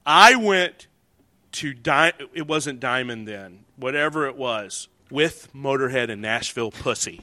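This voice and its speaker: A man's voice: medium pitch, lively intonation, measured pace, sharp tone. A man with a St. Louis accent chatting loosely as an interview guest, laughing often and swearing freely. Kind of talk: casual chat.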